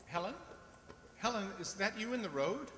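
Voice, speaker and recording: A man's voice: sits high, expressive, normal speed, sharp tone. A man in a radio drama playing a kind, earnest young country doctor with a hesitant, tender manner. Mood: hailing, pleased. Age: young adult. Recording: echoey hall, faint background noise.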